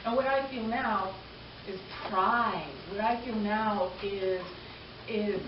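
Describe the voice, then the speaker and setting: A woman's voice: mid-range voice, slow pace. A woman in her forties who grew up in Canada, speaking earnestly and from the heart to a gathering, repeating phrases for emphasis. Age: 40s. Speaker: panel speaker. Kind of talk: personal talk to a gathering. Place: community arts event hall. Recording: echoey room, distant mic, dull sound, background noise.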